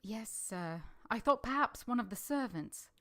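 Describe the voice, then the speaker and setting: A woman's voice: a little high, animated, measured pace, a bit bright. A woman acting an earnest, reserved young governess in a vintage radio drama, composed and polite in dialogue and narration. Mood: modest, hesitant.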